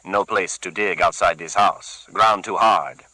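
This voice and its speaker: A man's voice: pushed and bright, no echo, full character voice, rough recording. A man doing a brooding gangster Gerardo. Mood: cold. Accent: Puerto Rican Spanish. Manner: slow, low, murmuring, broken English. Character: Puerto Rican man, brooding, menacing gang leader.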